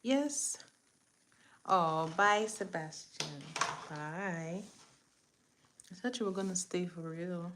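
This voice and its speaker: Lower-voiced woman, chatty, with background noise. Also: slow, pauses often, a bit bright. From eastern Nigeria. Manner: chatty, instructive, talking to viewers while working. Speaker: makeup tutorial host on a livestream. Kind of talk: casual chatty makeup tutorial, greeting viewers. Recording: clear background noise, room ambience.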